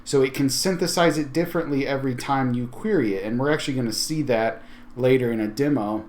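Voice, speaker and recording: A man giving an informal, conversational software walkthrough as a tech video host, thinking aloud as he goes. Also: mid-range voice, fast talker. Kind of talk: casual software tutorial walkthrough.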